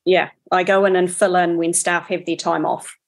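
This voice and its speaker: A woman answers interview questions about her work. She speaks plainly and matter-of-factly, in a slightly low voice. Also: flat delivery, moderate pace. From New Zealand. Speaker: guest, farmer.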